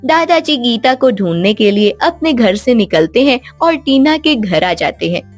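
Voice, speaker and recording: A woman's voice: on the high side, expressive, measured pace, soft background music. A woman narrating a scripted children's story as a storyteller.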